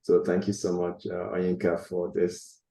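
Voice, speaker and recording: A man's voice: low voice, completely flat delivery, measured pace, gravelly, warm tone, reverberant. A man speaking as a panel guest over a video call, earnest and enthusiastic about his organization's programs. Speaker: panel guest, nonprofit leader. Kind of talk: casual panel conversation.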